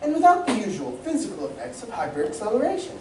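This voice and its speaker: A woman's voice: mid-range voice, wildly swooping pitch, fast talker. A woman playing a genial, proud scientist inventor, theatrical and emphatic, in an old-time radio serial reading. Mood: proud, explaining. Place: community playhouse stage, live reading. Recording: echoey, distant mic, faint noise.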